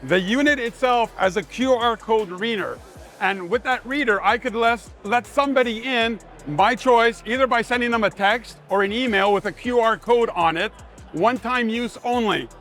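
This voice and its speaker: High-pitched man pitching a product. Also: moderate pace, fairly bright tone, soft background music. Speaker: sales manager presenting a product. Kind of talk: live product demonstration pitch. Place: trade show demonstration.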